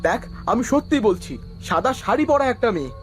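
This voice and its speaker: A man playing an earnest young man who narrates and speaks in an animated horror story, with music behind him. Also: very high-pitched, moderate pace. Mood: alarmed, insistent. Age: young adult.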